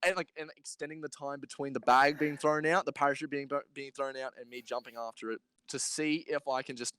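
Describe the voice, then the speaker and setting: Young male podcast co-host, smooth mid-pitched voice, rambling irreverent banter, close dry sound. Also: fairly even intonation, a little fast, a bit bright, dry recording. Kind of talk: casual comedic chat.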